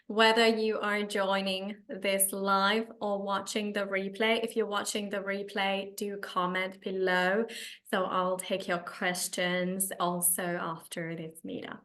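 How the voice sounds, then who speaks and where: Female coach, Finnish accent, unhurried delivery, presenting a live webinar to participants. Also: medium pitch, a bit bright. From Finland. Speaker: coach hosting an online meetup.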